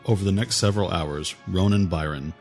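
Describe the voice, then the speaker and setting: A male narrator reads fantasy fiction in a very deep register. The delivery is scripted audiobook storytelling, with faint music running behind the voice. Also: brisk, a little mellow.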